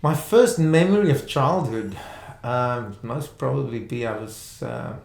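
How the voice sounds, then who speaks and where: A man's voice: medium pitch, a little slow, vocal fry, a little mellow, roomy sound. A middle-aged man from South Africa with an Afrikaans accent, speaking English as a second language, talking hesitantly as an interview guest with many fillers and restarts. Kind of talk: conversational interview.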